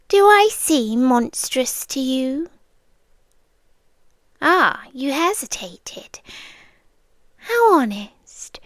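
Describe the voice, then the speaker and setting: A woman's voice: high voice, no echo, full character voice. A British woman voicing a centuries-old vampire countess in a girlfriend ASMR roleplay, intimate and theatrical, possessive and adoring, often slipping into whispers. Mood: wistful, coaxing.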